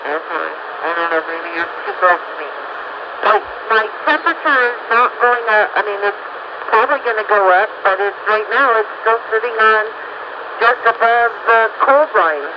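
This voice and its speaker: A woman's voice: medium pitch, expressive, moderate pace. A woman chatting casually over a two-way radio. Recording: muffled radio, very loud static.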